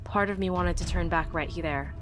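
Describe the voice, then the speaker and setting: A woman's voice: fairly low pitch, moderate pace, fairly bright tone, soft background music. A woman narrating a scripted first-person story dramatically, as in a fan audiobook.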